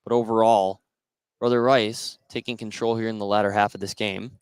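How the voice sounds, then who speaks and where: A man's voice: on the low side, little pitch movement, brisk, clean tone, no room sound. An American man doing live radio play-by-play commentary of a basketball game as it unfolds. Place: indoor basketball gym during a game.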